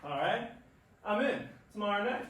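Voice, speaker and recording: A man's voice: high voice, animated, normal speed, a bit bright. A man on stage playing a young rookie ballplayer, eager and naive but hesitant, answering earnestly in short lines. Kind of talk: staged reading of a play, theatrical dialogue. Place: indoor performance space, recorded from afar. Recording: roomy distant stage recording, background noise.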